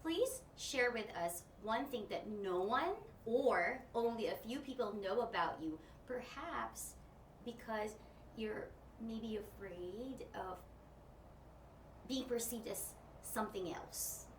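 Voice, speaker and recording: A woman's voice: on the high side, lively intonation, takes it slowly, a little hiss or hum. A woman hosting an interview. English is not her first language, and she asks friendly, probing questions. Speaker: host. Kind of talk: casual interview. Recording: roomy, distant mic.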